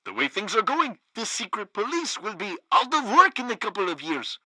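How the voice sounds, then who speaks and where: An actor playing a zealous, loyal regime radio host in a comedic video game, with a pompous, theatrical delivery. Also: male voice, high voice, very animated, moderate pace, pushed and bright, dry recording, heavily acted. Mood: cheerful, assured. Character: zealous loyal propaganda radio host, male, banana-republic sycophant.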